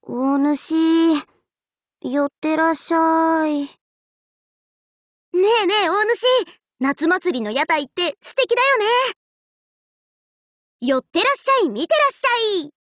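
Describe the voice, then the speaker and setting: A woman voicing a cheerful, teasing young festival-loving swordswoman in an anime mobile game, with a bubbly, playful, drawn-out delivery. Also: very high voice, lively intonation, no room sound, studio quality, heavily acted. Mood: cheerful, earnest.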